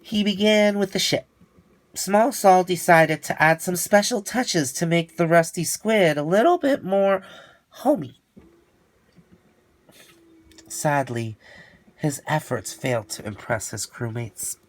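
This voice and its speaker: A man reading a children's storybook aloud in an expressive style, with theatrical, drawn-out emphasis. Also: a little high, no echo.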